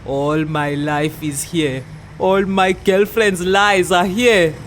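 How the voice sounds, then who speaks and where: A woman voicing a drunk, weary old vagrant man with a South African accent, declaiming slowly and bitterly in an acted story reading. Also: on the low side, slight background noise. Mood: bitter, boastful. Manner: slow, loud, declaiming.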